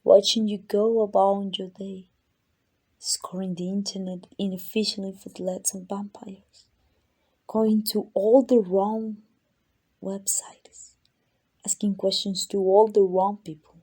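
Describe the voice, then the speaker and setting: A woman voicing an ancient, arrogant, possessive female vampire in an ASMR roleplay, condescending and teasing, with a breathy voice that dips into whispers. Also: medium pitch, level tone, slow pace, warm tone. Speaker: amateur voice actor.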